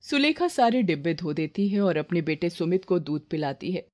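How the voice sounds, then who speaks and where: A woman's voice: fairly low pitch, big pitch swings, moderate pace, a little mellow. A woman reading a scripted moral story as its narrator.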